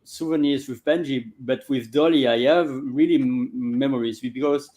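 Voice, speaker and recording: A man in his forties with a Belgian French accent, a guest in a casual interview, often pausing with fillers while he searches for words. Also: mid-range voice, darkish tone. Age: 40s.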